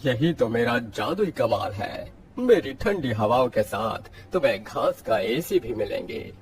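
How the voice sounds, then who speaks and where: A man's voice: medium pitch, very animated, unhurried, a little hiss or hum. A man voicing a cheerful magical talking air conditioner in a cartoon story, friendly, theatrical and boastful. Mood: proud. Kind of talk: Hindi cartoon story acting.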